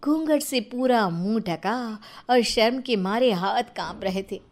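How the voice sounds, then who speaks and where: A woman narrating a scripted story in an expressive, playful and dramatic way. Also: a little high, a little slow.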